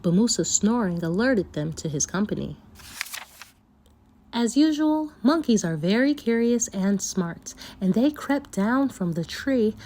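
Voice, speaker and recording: A woman storyteller reading a folktale aloud for children, with expressive, deliberate phrasing. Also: medium pitch, slight background noise.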